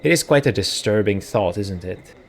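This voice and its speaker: A man's voice: lowish voice, expressive, normal speed, a little hiss or hum. A man with a Czech accent, a native Czech speaker, hosting a let's play in casual commentary, reading game text aloud and musing through self-corrections as he thinks aloud.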